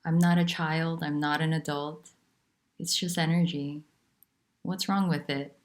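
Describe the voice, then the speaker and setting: A woman teaching about nonduality, talking in a soft, unhurried and candid way with pauses. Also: deep voice, steady tone. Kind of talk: reflective spiritual talk.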